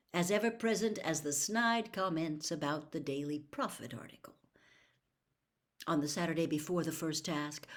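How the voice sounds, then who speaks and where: A woman reading a children's fantasy novel aloud as an expressive storybook narrator. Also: fairly low pitch. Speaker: audiobook reader.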